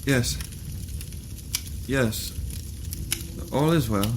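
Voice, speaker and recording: An actor playing an obsessed, brooding young scientist in a theatrical audio drama. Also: male voice, medium pitch, very slow, background noise. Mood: evasive.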